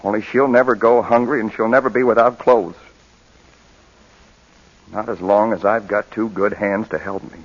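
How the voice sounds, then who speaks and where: Humble young dad, played by a male actor, earnest, halting and emotional; low husky voice, vintage radio drama. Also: steady tone, natural voice. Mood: desperate pleading. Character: pleading young adoptive father, humbled, earnest and loving. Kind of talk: 1940s radio drama acting. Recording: muffled old radio broadcast recording.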